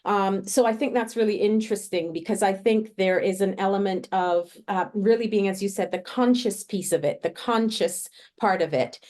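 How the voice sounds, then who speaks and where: A woman from Barbados and Trinidad hosts a podcast in a relaxed, reflective way, with a Caribbean accent. She tells personal stories and acts out little quoted lines as she goes. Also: mid-range voice, normal speed, few pauses. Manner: reflective, storytelling with quoted asides. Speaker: podcast host. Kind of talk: conversational interview.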